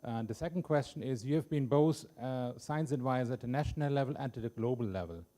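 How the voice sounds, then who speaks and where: Male audience questioner, concise delivery, very soft dark timbre. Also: medium pitch, quick pace.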